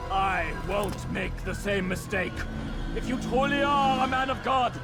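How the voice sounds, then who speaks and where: A sneering, menacing knight commander. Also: male voice, high-pitched, a little slow. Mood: mocking. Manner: mocking, then fearful and quiet. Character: ruthless knight commander, then a dying man. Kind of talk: video game cutscene acting. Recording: game audio with loud music.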